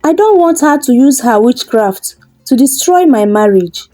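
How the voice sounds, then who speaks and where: A woman's voice: sits high, expressive, a little slow, dark tone, no room sound, soft background music. Woman acting a huffy, resentful village wife. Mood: huffy resentment.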